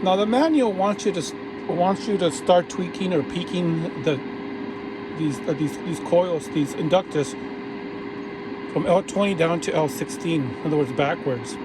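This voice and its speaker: A man casually narrating a hands-on radio repair tutorial while he works, relaxed and restarting his phrases often. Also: a little high, normal speed. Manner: relaxed, talks while working, frequent restarts. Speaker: vintage radio repair hobbyist. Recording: audible background noise.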